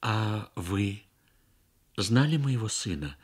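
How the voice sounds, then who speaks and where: A man's voice: on the low side, lively intonation, slow pace, breathy edge, full character voice. A man voicing a grieving elderly mother in a radio story, subdued and hesitant. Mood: quiet, wistful. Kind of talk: Soviet radio story reading.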